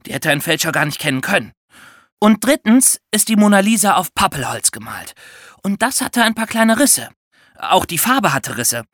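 Female co-host, children's knowledge podcast. Clear step-by-step explainer, very varied intonation, dry recording. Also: medium pitch, sing-song, normal speed, fairly bright tone, dry recording. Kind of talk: conversational educational podcast for children.

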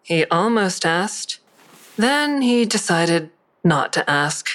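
A woman's voice: fairly low pitch, big pitch swings, slow pace, a bit bright, exaggerated voice. A woman playing a guarded, protective grown daughter in an audio drama, dry and confrontational. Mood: wry, resigned. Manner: dry, pointed, challenging. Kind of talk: full-cast audio drama acting.

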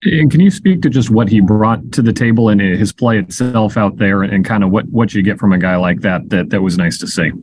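A man's voice: on the low side, brisk, hardly any pauses, a little mellow, breathy edge. A man working as a reporter, putting a question at a press conference.

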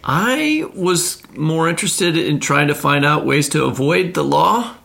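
Male co-host, casual chat, forceful bright tone with plenty of fry. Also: a little high, expressive, unhurried. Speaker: podcast co-host.

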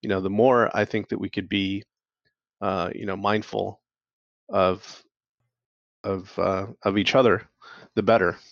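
A man hosting a casual interview, voice low and gravelly, with a halting, filler-heavy way of talking. The sound is dull and lo-fi, recorded very dry. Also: little pitch movement, no echo. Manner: hesitant, many fillers and restarts. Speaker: interview host.

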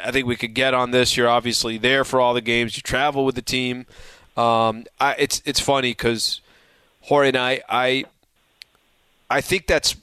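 Smooth-voiced American sports radio host. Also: male voice, mid-range voice, pushed and bright, no room sound. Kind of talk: casual sports talk interview.

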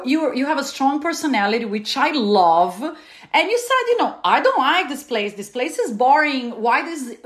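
A woman, hosting a casual podcast interview in an animated way and laughing often. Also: high-pitched. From Latin America. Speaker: podcast host.

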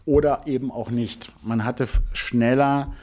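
A middle-aged man with a soft-edged, mellow voice of average pitch speaks as an executive being interviewed. He explains things deliberately and a little formally, often halting to find the next phrase. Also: steady tone. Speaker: interview guest, music label executive.

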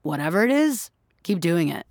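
Low-voiced admiring office colleague. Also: female voice, very animated, normal speed, a little mellow, no echo, clean studio sound. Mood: admiring, casual. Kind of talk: audio drama acting.

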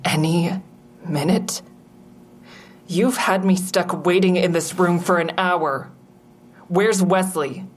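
A woman's voice: on the low side, slow, long pauses, slight background noise. An actress playing a guarded, agitated, sardonic woman who narrates and speaks in an audio drama. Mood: agitated, sarcastic. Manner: sardonic, exasperated. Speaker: narrator and lead character. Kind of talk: first-person audio drama narration and dialogue.

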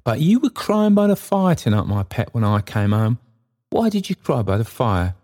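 A man voicing an elderly father beside himself with joy, exclaiming in a raised, excited voice. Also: mid-range voice, moderate pace, pitched above the performer's own voice. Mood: tender.

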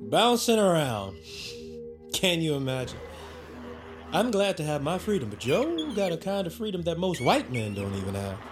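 Plain-spoken Southern US gardener, very expressive higher voice, practical and folksy, music behind. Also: male voice, moderate pace. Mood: easygoing. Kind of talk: audio drama acting.

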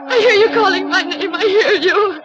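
A woman's voice: very high-pitched, normal speed. A woman playing a distraught, unhinged young woman in an old-time radio horror drama, pleading tearfully and repeating herself. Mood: frantic, delirious. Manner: repetitive, singsong, tearful pleading. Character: distraught young woman, unhinged, calling for her lost friend. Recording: dull vintage radio recording with loud music.

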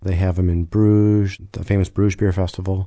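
A man with a low, very dark and mellow voice speaks as a guest expert on a radio show. He answers at an even pace and slips in deadpan jokes. His voice is dry and close to the mic. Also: normal speed, no room sound.

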